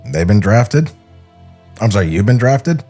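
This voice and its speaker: Man reading as a blunt, low-voiced skeptical guy. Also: sing-song, measured pace, darkish tone, soft background music. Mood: incredulous. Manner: challenging, incredulous questions. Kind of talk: comic table read of a film script.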